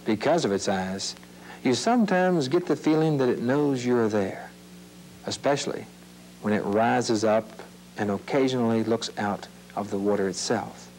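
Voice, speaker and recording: A man reading scripted nature narration for children in a measured, explanatory, plain-worded way. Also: mid-range voice, darkish tone, poor audio. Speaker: narrator of an educational film series. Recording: hissy, humming old videotape soundtrack.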